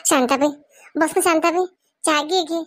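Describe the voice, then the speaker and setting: A woman's voice: high-pitched, normal speed, no room sound, studio quality, close to an everyday voice. A woman voicing a fretful, strict village mother in a comedy cartoon, admonishing her child. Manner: fretting, admonishing.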